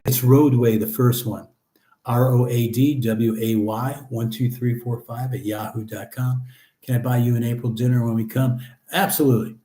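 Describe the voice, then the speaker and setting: A middle-aged man hosting a casual live chat, reading viewer comments aloud and answering them in a relaxed, chatty way. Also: medium pitch. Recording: echoey room.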